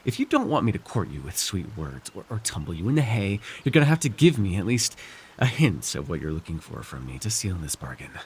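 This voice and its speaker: A man voicing a scheming, weary villain lord in an immersive fantasy ASMR roleplay, wry and persuasive, speaking theatrically with halting, musing pauses and sighs. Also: sits low, sing-song, a little hiss or hum. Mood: exasperated, bargaining. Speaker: voice actor.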